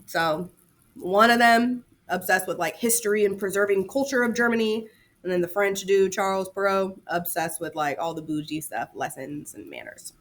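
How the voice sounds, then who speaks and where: A woman's voice: mid-range voice, normal speed. A woman teacher giving a casual lesson to students, talking informally with slang and chatty asides and repeating key terms.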